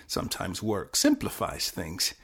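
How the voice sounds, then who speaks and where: A man playing a confused, fatalistic teenage boy who narrates his own short story in halting, self-correcting fragments. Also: deep voice, wildly swooping pitch, creaky, a bit bright, slightly rough, heavily acted. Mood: nervy, muddled. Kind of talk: first-person dramatic short-story performance.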